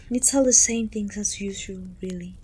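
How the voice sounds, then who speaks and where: Breathy, haughty evil queen, acted by a woman. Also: mid-range voice, a little slow, mellow. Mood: exasperated. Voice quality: breathy, often dropping to a whisper. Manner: pompous, petulant, theatrical sighing complaints. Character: haughty, self-pitying, dominant evil queen venting to a servant. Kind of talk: ASMR roleplay, intimate monologue.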